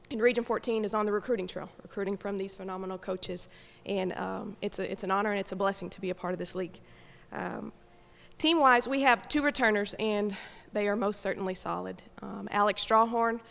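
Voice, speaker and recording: A woman gives a coach's address at a media event. Her voice sits at an average pitch for a woman. The large room adds a long, echoing tail to her words. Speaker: college basketball coach. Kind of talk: prepared remarks at a media day. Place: large indoor hall, speaking to an audience. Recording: echoey hall sound with long reverb.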